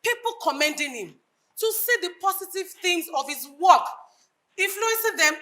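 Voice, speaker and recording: A woman with a Nigerian accent playing a grieving, idealistic reformer in a live stage drama, declaiming in measured oratorical phrases. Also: extremely high pitch, lively intonation, sharp tone, heavily acted. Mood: wistful, earnest.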